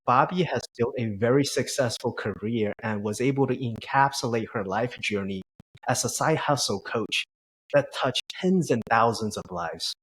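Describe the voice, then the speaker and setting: A man hosts an interview podcast, with a husky, slightly rough voice. He introduces his guest in admiring, somewhat formal terms, then asks her easy, open questions. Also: mid-range voice, normal speed, fairly bright tone, poor audio. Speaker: interview host.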